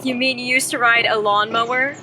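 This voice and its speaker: A woman's voice: on the high side, fairly even intonation, a little fast, noisy background, close to an everyday voice. A woman playing a dry, teasing wife trading banter in an audio drama.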